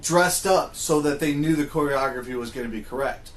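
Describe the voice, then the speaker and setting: A man's voice: mid-range voice, a little fast. A man hosting a casual discussion in an informal, opinionated way. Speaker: podcast host. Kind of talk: casual discussion of video game movies. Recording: roomy, echoing room sound.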